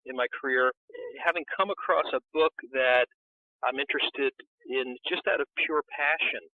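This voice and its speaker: Male interview guest, mid pitch, conversational, narrow phone-like audio. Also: moderate pace, no room sound. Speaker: interview guest, book publisher. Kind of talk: casual conversational interview answers. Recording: tinny phone-like call line.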